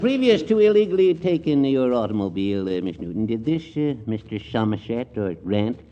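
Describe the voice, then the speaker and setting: An actor playing a flirtatious, bumbling small-town judge in a 1940s radio comedy, folksy, hemming and chuckling. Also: male voice, mid-range voice, very animated, brisk, muffled recording, natural voice. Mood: coy, flirtatious. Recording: noisy old radio recording with clicks.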